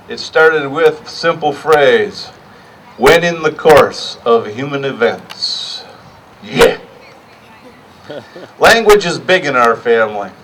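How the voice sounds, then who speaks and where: A middle-aged man giving a partly improvised rally speech in a deliberate, plainspoken way, pausing for emphasis. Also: medium pitch, fairly bright tone, distant mic, a little hiss or hum, low-quality recording. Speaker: rally speaker.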